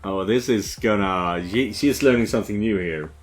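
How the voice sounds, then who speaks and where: A man's voice: lowish voice, normal speed, soft background music. A man hosting a let's play, giving casual, reactive commentary as he goes through a game's dialogue. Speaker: let's-play host and narrator. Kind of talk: casual game commentary with read-aloud dialogue.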